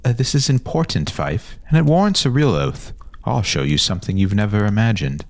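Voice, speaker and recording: A grandiose older boy, acted out by a male storyteller, lords it over his friends in a fantasy tale. The pitch is lifted well up, and the manner is formal, insistent and self-important. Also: very animated, brisk, darkish tone, no room sound. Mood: insistent, solemn.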